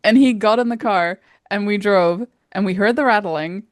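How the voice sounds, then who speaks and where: A young woman co-hosting a podcast, telling stories in a rambling, animated way and swearing freely. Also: mid-range voice, moderate pace, no echo, studio quality. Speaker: podcast co-host. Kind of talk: casual storytelling chat.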